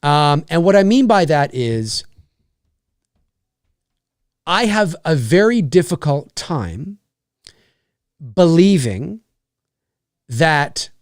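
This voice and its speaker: Brief: man, 40s, from Canada, live-stream host; lively, bouncing pitch, fairly high voice, dry close sound. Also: very slow, long pauses, no echo. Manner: excitable, rambling, reads donor messages aloud. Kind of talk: casual live-stream chat.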